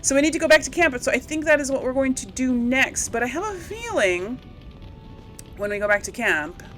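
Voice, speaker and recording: A woman's voice: sits high, animated, a little fast, a bit bright, soft background music. A woman hosting a gameplay video in casual commentary, rambling and thinking aloud as she repeats herself.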